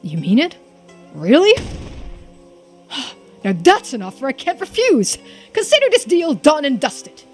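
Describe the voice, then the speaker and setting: Woman acting a theatrical, excitable treasure hunter. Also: high voice, a little slow, long pauses, soft background music, voice pushed up, heavily acted. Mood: delighted, eager. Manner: theatrical, wordy, boastful. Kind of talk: video game character voicing.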